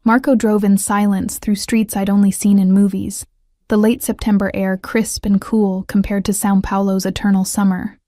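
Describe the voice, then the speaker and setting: A woman narrating a first-person romance story in a scripted storytelling style, with an even, subdued delivery. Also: medium pitch, normal speed, no room sound, clean studio sound.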